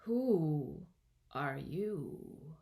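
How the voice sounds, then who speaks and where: A woman voicing a languid, sleepy caterpillar in a children's storybook, curt and contemptuous, with breathy, drawn-out speech. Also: deep voice, very animated, warm tone, exaggerated voice. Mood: languid, stern. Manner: drawn-out, drowsy delivery with terse replies. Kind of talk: children's storybook read-aloud.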